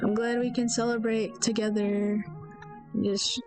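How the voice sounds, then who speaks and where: A woman's voice: on the high side, level tone, a little slow, darkish tone, rough recording. A young woman streaming her solo game development, chatting casually with lots of fillers and trailing thoughts, her nose stuffy and throat sore. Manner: rambling, hesitant, many fillers. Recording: faint music behind.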